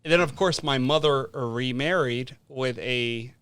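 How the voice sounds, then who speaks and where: Clear-voiced man telling personal stories casually. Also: medium pitch, measured pace, a bit bright, no echo. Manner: informal, sweary, punctuates talk with "right?". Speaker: podcast guest.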